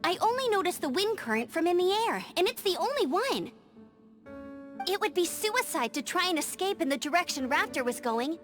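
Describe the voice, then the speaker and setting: A woman's voice: very high voice, sing-song, normal speed. A woman voicing an upbeat, restless young scout in a video game, chirpy and chatty. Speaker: game character. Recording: game audio with music behind.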